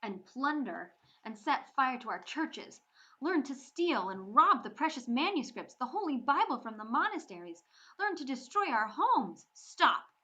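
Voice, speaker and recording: A woman voicing a defiant young Irish girl who answers with loud, scornful outbursts. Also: medium pitch, slow pace, muffled recording. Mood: furious.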